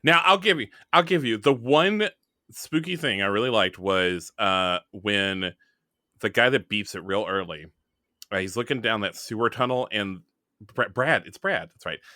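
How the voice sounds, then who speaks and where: A man's voice: fairly low pitch, expressive, moderate pace, sharp tone, dry recording, studio quality. A man hosting a podcast, chatting casually with a wry, joking manner. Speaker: podcast host. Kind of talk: casual scripted-game podcast introduction and chat.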